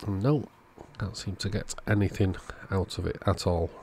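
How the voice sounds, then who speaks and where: A man, host of an electronics repair video, calmly thinking aloud as he methodically works on a radio at his workbench. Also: sits low, normal speed, a little hiss or hum. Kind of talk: casual narrated repair walkthrough.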